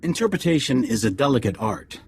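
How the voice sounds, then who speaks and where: An actor playing a solemn, cryptic alien councillor in a video game, making formal, ceremonial pronouncements. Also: male voice, medium pitch, sing-song, a little fast, gravelly, breathy edge, exaggerated voice. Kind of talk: dramatic video game acting.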